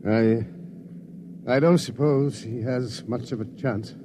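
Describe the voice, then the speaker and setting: A man's voice: mid-range voice, takes it slowly, close to an everyday voice. A male actor playing a blustering, gallant military colonel in a 1950s radio drama. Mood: grave, worried. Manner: blustery, stiff-upper-lip military exclamations. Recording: faintly noisy 1950s radio recording.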